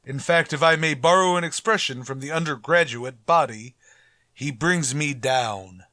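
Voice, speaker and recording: An actor playing a pompous, grumbling middle-aged school principal in a radio comedy, formal and dryly sarcastic. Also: male voice, a little high, moderate pace, sharp tone, no room sound. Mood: disdainful, dreading. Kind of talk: old-time radio comedy acting.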